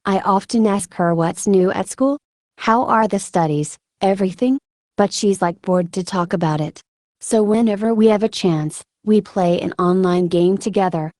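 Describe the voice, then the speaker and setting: Mellow-voiced female soldier voiced by a woman. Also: mid-range voice, normal speed, no room sound, studio quality, natural voice. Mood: conversational, steady. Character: adult female combat medic, a steadfast soldier and mother. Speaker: dubbing voice of an interviewee. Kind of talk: interview answers in translated voice-over.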